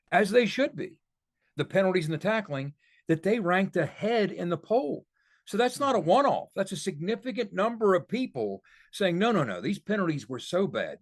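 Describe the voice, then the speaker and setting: A man co-hosting a sports podcast, giving conversational analysis and repeating points for emphasis. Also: fairly high pitch. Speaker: podcast co-host.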